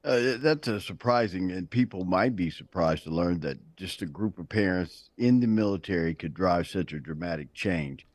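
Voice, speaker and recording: A man hosting a morning TV news show, speaking in a measured way with frequent fillers. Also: low-pitched, no room sound. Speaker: TV news host. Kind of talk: morning TV interview. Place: TV studio.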